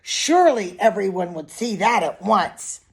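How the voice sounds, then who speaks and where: A woman's voice: mid-range voice, lively intonation, a little slow, gravelly, slightly rough. A woman reading a picture book aloud expressively to young children, with simple asides and questions to her listeners.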